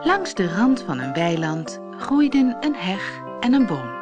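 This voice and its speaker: Slow, expressive woman storyteller. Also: mid-range voice, lo-fi sound, over loud music. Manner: expressive, deliberate. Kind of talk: scripted children's fairy-tale narration.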